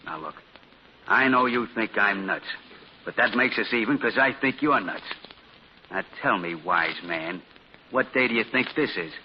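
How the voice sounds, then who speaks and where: A gruff, blunt streetwise tough speaks in a lowish voice, flat and unimpressed. It's 1940s radio acting on a muffled old broadcast with a faint hiss underneath. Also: male voice, measured pace. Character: gruff streetwise man with eerie predictions.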